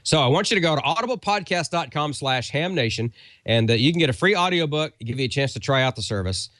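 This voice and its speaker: A man's voice: fairly high pitch, animated, fast talker, fairly bright tone, dry recording, clean studio sound. A man co-hosting a show, giving a casual, conversational sponsor read that rambles a little. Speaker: show co-host.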